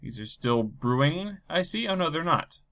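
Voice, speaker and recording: A man with a head cold, stuffy and a bit low energy, rambling as he talks through his game and thinks aloud. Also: sing-song, normal speed, a bit bright, a little hiss or hum. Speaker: Minecraft let's play host. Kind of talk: casual gameplay commentary.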